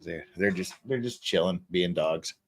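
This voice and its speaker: A man's voice: sits low, moderate pace, gravelly, husky. A man hosting a livestream with casual, joking, teasing banter. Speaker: livestream host.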